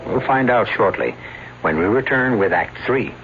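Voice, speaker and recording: A man hosting an old radio mystery drama, speaking in a wry, measured way. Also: on the low side, lively intonation, background noise. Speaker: show host. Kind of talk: radio drama host narration. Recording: lo-fi old radio broadcast with music.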